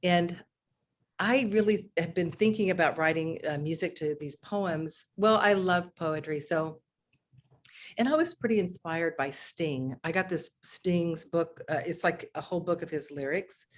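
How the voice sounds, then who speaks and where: Low-voiced woman chatting on a video call. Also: measured pace, slight background noise. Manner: chatty, restarts often, animated. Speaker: singer and guest. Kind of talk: casual video interview. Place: home living room.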